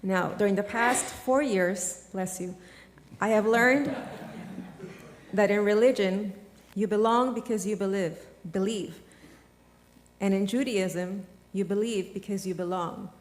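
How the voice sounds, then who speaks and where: A woman's voice: mid-range voice, a little slow, a little hiss or hum. A woman giving a prepared, earnest testimony at a service in a synagogue sanctuary. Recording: long hall reverb.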